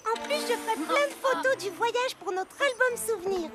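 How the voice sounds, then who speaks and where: A woman voicing an excitable, childlike little Christmas elf in a children's cartoon dub, pleading and enthusiastic. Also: very high-pitched, moderate pace. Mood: eager. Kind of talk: animated children's series dub.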